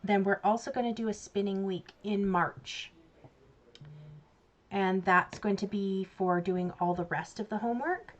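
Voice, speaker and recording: A Canadian woman talks through her crafting plans in a relaxed, chatty way, laughing at herself now and then. The recording is a little dull and lo-fi. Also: medium pitch, measured pace. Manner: chatty, giggly, self-mocking. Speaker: crafts vlogger. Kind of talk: casual crafting chat. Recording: slightly dull lo-fi sound.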